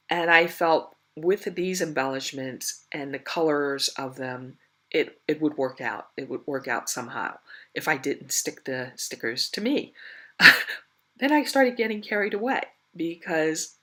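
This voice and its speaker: A woman with a stuffy nose who is partly losing her voice, chatting casually and rambling about her scrapbooking project. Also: deep voice. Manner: chatty and rambling, with fillers and soft laughs. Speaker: scrapbooking vlogger. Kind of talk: casual crafting chat.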